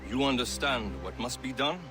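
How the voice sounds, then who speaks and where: A man acts a grave leader of a secret order in a video game. His mid-pitched voice is measured and formal. Loud music runs underneath. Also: close to an everyday voice. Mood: solemn, instructing. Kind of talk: dramatic game cutscene acting.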